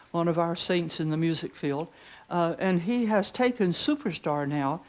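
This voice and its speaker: An older woman with a deep voice leading a chatty preaching workshop in a conference room, reading hymn lyrics slowly. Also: darkish tone. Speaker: pastor teaching preachers. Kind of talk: informal preaching workshop talk. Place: conference room with seated audience.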